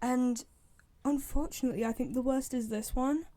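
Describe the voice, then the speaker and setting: A woman's voice: high voice, moderate pace, dark tone, dry recording. A woman chatting casually and giving opinions as a fan commentator, rambling and repeating herself for emphasis. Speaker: fan commentator ranking game voice lines.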